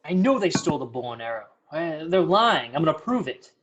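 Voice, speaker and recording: A man's voice: fairly high pitch, sing-song, fairly quick, fairly bright tone. An actor playing a hapless, hesitant man in a comedy script table read. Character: hapless men in a comedy: sulky underling, lovelorn client.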